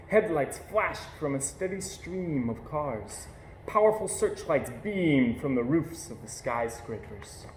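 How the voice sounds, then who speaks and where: A man reading a children's picture book aloud with gentle, measured storytelling. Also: medium pitch. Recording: echoey room with faint hiss.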